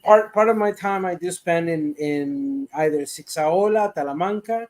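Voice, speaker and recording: Hesitant Costa Rican man, a bit high. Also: normal speed, darkish tone. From Costa Rica. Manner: rambling, hesitant with many uhs. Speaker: livestream guest. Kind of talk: casual chat.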